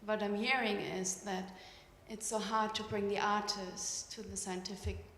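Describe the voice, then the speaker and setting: A woman whose first language is German, asking a thoughtful, measured question at a symposium. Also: mid-range voice, level tone, fairly bright tone, a little hiss or hum. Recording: echoey hall with long reverb, faint ambience.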